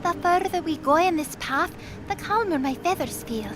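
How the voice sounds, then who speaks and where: A woman voicing an anxious, earnest and excitable young winged goddess girl in an audio drama. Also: very high-pitched, moderate pace, background noise. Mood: hesitant, earnest.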